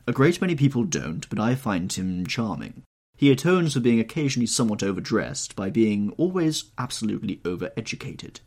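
A man voicing a cynical aristocratic gentleman in an audiobook, drawling out languid epigrams. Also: on the low side, very animated, brisk, husky, voice pushed up, exaggerated voice. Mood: wry.

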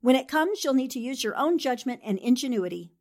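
Woman acting animated, commanding newspaperwoman sleuth. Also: high voice, fairly quick. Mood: determined. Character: brisk, sharp, determined newspaperwoman sleuth. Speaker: actor in an amateur radio drama re-creation. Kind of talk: 1940s radio acting.

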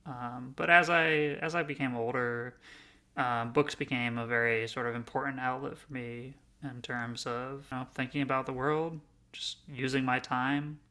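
A man's voice: mid-range voice, steady tone, normal speed, sharp tone. A man in his early thirties co-hosting a podcast in a rambling, self-deprecating, casual way. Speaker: podcast co-host.

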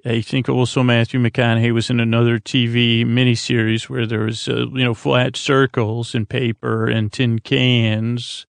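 A middle-aged man hosting a sleep podcast in a creaky voice, meandering and halting through tangents and interrupting himself. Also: medium pitch, level tone, no echo. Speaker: podcast host. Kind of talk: rambling bedtime monologue to help listeners fall asleep.

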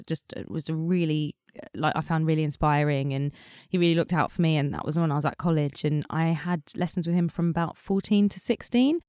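A woman's voice: deep voice, dark tone, dry recording, clean studio sound. A woman singer chatting as an interview guest, talking loosely with many fillers. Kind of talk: casual interview chat.

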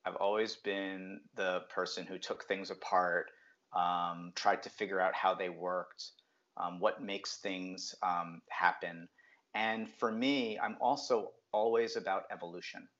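Brief: middle-aged man, very low voice, reflective interview guest, muffled lo-fi sound. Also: fairly even intonation, unhurried, fairly bright tone. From New Jersey, USA. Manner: reflective, frequent um fillers. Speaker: guest, brand strategist. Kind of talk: casual interview talk. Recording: dull lo-fi audio.

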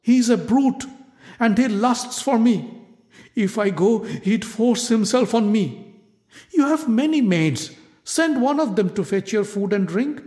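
A man's voice: high voice, lively intonation, a little slow, warm tone, voice pushed up. A man voicing an outraged pleading woman. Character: wronged noble young woman, furious, pleading.

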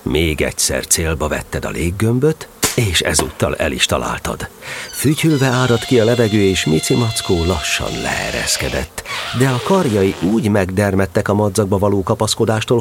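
A man playing a fatherly, gently amused storyteller, narrating a children's audio drama expressively. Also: on the low side, gravelly, a little hiss or hum.

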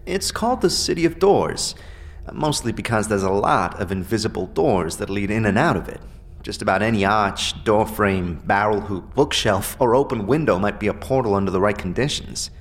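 A man playing a lively, wisecracking undead sidekick. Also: lowish voice, moderate pace. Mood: chatty, wry. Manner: colloquial, animated explaining. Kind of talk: audio drama game dialogue.

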